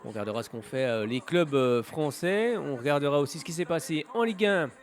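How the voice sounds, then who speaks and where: A man's voice: fairly high pitch, animated, fairly quick. A man with a head cold hosting a casual radio sports show, talking hesitantly with many filler sounds and small jokes. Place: radio studio.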